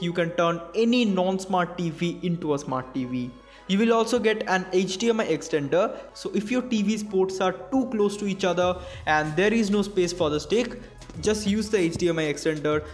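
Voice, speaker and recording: A young man with an Indian English accent, narrating a scripted gadget review in a high, light voice. His delivery is clear, measured and explanatory. Soft music plays faintly underneath. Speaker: tech reviewer. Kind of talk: scripted product review voice-over.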